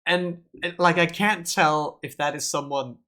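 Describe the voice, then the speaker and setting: Bright-voiced man, laughing co-host. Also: on the high side, fairly even intonation, a little slow. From not Australia. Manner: laughs a lot, playful, promotes the channel. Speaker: stream co-host. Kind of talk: casual stream chat.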